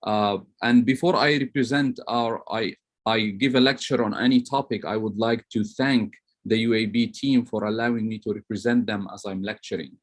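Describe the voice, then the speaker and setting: A man's voice: fairly low pitch, steady tone, normal speed. A man from Lebanon giving a semi-formal online lecture as a professor.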